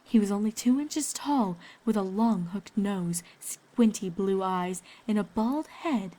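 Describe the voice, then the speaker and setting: A woman's voice: mid-range voice, takes it slowly, mellow. A woman storyteller reading scripted fiction aloud, with ambient noise behind her.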